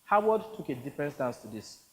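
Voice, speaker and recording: A man from Nigeria narrating a first-person audiobook in a wry, lively storytelling manner. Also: medium pitch, quick pace, in a large hall, poor audio.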